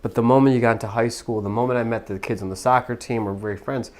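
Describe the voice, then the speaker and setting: A man's voice: medium pitch, fairly even intonation, fast talker, darkish tone, slight background noise. A man in his thirties from Pakistan, telling his own story as a podcast guest, earnest and reflective. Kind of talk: personal story in a podcast interview.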